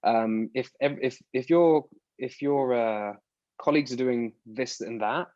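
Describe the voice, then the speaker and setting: A man's voice: medium pitch, slow, a little mellow, no room sound. British man, rambling guest answers. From United Kingdom. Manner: rambling, restarts words often. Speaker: podcast guest. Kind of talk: casual interview answers. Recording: dull lo-fi dry sound.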